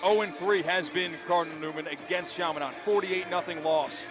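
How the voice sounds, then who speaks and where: A man doing excited, emphatic play-by-play football commentary with dramatic phrasing. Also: sits high, fairly quick, over music. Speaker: play-by-play sports announcer.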